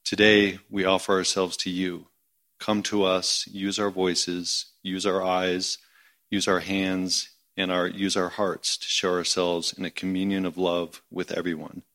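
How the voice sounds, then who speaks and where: Man, very deep pitch, flat intonation, solemn, measured, phrase-by-phrase spoken prayer. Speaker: prayer leader.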